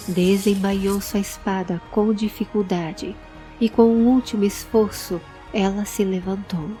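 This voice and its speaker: A woman's voice: mid-range voice, mellow. A woman narrating a scripted fantasy audio story. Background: background music.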